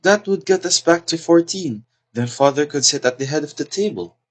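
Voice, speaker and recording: An anxious society daughter acted by a man in a comic play. The voice is husky and rough-edged. The delivery is earnest, pleading and easily flustered. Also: fairly high pitch, expressive, normal speed. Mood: helpful, hurried. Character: anxious, well-meaning daughter of a society hostess. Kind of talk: theatrical stage comedy acting.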